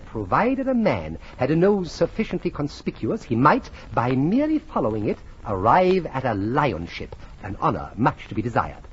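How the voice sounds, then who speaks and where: An actor playing a vain, fussy self-styled great man who tells his own life story in halting, theatrical 1940s radio comedy style. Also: medium pitch, a little mellow, slight background noise, close to an everyday voice. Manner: pompous, halting, theatrical delivery. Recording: muffled old 1940s radio recording.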